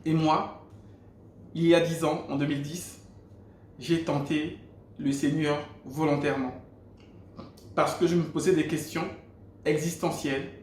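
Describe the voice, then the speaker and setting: A man's voice: a little high, flat delivery, unhurried, pauses often, darkish tone, a little hiss or hum. Gabonese man telling a testimony, roomy sound. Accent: Gabonese French. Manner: earnest storytelling with frequent restarts. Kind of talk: personal faith testimony. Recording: roomy echo.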